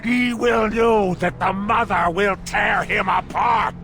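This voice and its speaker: A man voicing a fanatical, vengeful monster in a video game, with a gravelly voice and threatening, declamatory delivery. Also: high-pitched, fairly even intonation, takes it slowly, over loud music. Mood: menacing, wrathful. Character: menacing fanatical monster, vengeful servant of a dark mother.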